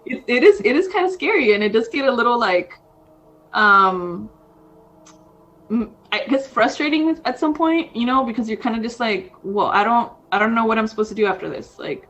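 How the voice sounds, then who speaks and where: A woman's voice: fairly high pitch, expressive, moderate pace, a bit bright, dull audio. A woman in her thirties, co-hosting a podcast in casual chat, rambling with lots of fillers. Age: 30s. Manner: rambling, frequent fillers, says dude. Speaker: podcast co-host.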